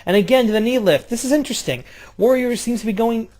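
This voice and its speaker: A man doing casual play-by-play of a video game wrestling match, jumping between loud outbursts and low, offhand, swearing asides. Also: quick pace. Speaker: play-by-play commentator.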